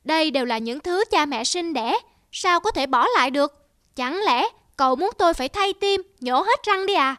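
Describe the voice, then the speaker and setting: A woman's voice: sits high, expressive, moderate pace, a bit bright, dry recording, clean studio sound. A woman voicing a stubborn, proud young wolf in a cartoon fable, indignant and declamatory.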